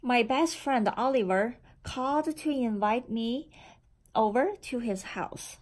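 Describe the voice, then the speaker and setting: A woman reading a children's picture book aloud in a deliberate, expressive storytelling manner. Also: fairly high pitch. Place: indoor room with framed certificates on the wall.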